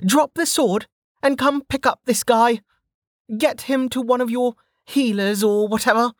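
A woman's voice: fairly high pitch, a little slow, no room sound, clean studio sound, pitched above the performer's own voice. A narrator voicing a brash, cocky young woman fighter who talks in a bossy, taunting way. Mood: commanding.

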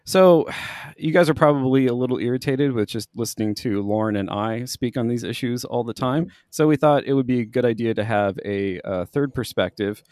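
A man's voice: medium pitch, moderate pace, a little mellow, no echo, studio quality. A man co-hosting a podcast, asking loose, rambling questions in a casual, conversational way. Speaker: podcast co-host.

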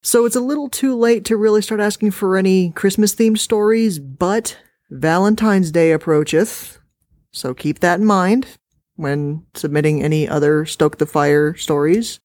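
A woman hosts a podcast in a loose, chatty way, bouncing between giddy outbursts and quick asides. Her voice sits a little low for a woman, and the sound is close and dry. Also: a little mellow, no room sound. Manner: bubbly and playful, jumping in pitch. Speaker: podcast host. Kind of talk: casual chat.

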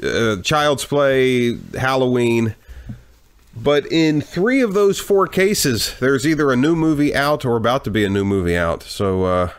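A man's voice: medium pitch, measured pace, smooth. A man hosting a movie marathon podcast, a little congested from a cold, with wry joking remarks. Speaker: podcast host. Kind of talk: casual chat.

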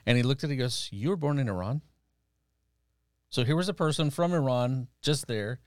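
A man's voice: mid-range voice, brisk, no room sound. Middle-aged man telling personal stories, dry sound. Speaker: missionary guest. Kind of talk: conversational personal storytelling.

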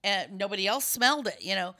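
A middle-aged woman with a bright, forward tone, though her voice sounds scratchy today. She explains things in a loose, rambling way, circling back and restarting her sentences as she hosts a relaxed conversation. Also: mid-range voice, fast. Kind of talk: casual chat.

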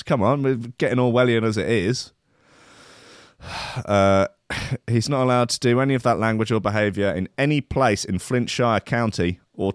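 A man's voice: medium pitch, dry recording, clean studio sound. A man hosting a comedy show, reading out a news story with sardonic, mocking asides and laughing at his own jokes.

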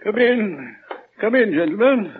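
An actor playing an ailing, grave doctor who speaks deliberately and solemnly in an old radio drama. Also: male voice, sits high, lively intonation. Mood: weary, apologetic. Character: ailing, grave male doctor, measured and evasive. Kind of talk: 1940s-style radio drama acting. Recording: muffled, noisy old radio recording.

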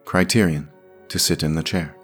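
A man's voice: very deep voice, fairly even intonation, moderate pace, a bit bright, soft background music. A man voicing a skeptical, bewildered woman in her sixties in an audio drama, with short questioning replies. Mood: probing. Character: skeptical sixty-two-year-old woman, dry, bewildered employee.

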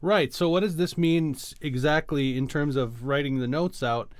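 A man's voice: fairly high pitch, moderate pace, clean tone, dry recording. A man co-hosting a podcast, explaining things step by step in a casual, informal way. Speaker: podcast co-host.

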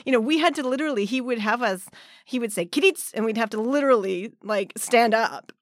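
A woman in her forties, raised in Canada, telling her story as an interview guest, lively and often laughing as she acts out quotes. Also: on the high side, fast, dry recording, clean studio sound. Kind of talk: casual conversational interview.

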